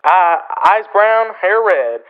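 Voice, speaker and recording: A man's voice: sits high, lively intonation, slow pace, clean tone, highs cut off. A man playing a gleeful, opportunistic small-town newspaper printer in a Western radio drama, with a folksy, boastful American manner of talking. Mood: businesslike.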